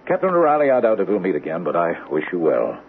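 An actor playing an urbane, composed, faintly sinister gentleman doctor in a vintage radio mystery, speaking in a suave, deliberate way. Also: sits low, huge pitch swings, heavily acted. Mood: assured. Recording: old radio drama, tinny and noisy.

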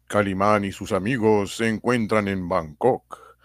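A man reads the narration of an adventure serial with slow, measured storytelling. His voice sits fairly low, and the recording is dry and close. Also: fairly even intonation, dry recording. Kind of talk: radio drama reading narration.

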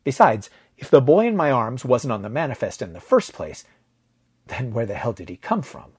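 A man narrating a science fiction story in the first person, with a measured, dramatic delivery. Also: medium pitch, very animated, darkish tone, slightly rough, no echo, clean studio sound.

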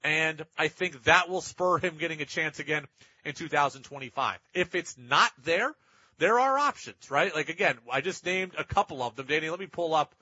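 A man's voice: fairly high pitch, pushed and bright, slightly rough, no echo, lo-fi sound. A man hosting sports talk radio, giving opinionated commentary in an emphatic way and repeating points for effect.

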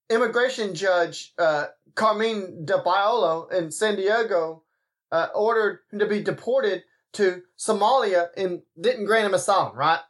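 A man with a high voice hosts his commentary podcast. He rambles, breaks off his sentences, starts them over and turns aside to talk to his listeners. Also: a bit bright. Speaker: podcast host.

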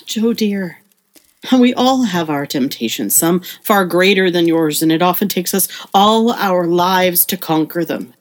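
Actress as wise, kindly mother of grown girls, lowish voice, earnest and comforting, for a period audio drama. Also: measured pace, close to an everyday voice. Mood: gentle reassurance. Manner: soothing, earnest. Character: gentle, wise middle-aged mother counselling her daughters. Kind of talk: audio play acting with narration.

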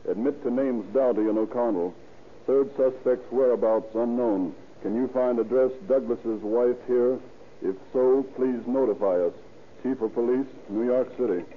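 An actor voicing a police telegram with clipped formality in an old radio crime drama. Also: male voice, mid-range voice, moderate pace, lightly acted. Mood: official. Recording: tinny, noisy old radio recording.

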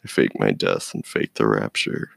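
Male poet, deep voice with extremely heavy fry, brooding and measured spoken-word delivery. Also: fairly even intonation, fairly bright tone, no echo, clean studio sound. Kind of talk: spoken-word poetry recital.

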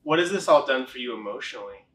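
A man's voice: medium pitch, animated, fast talker, noisy background. A man conducting a casual, supportive interview, encouraging his guest with frequent short affirmations. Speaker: interviewer from a health practice.

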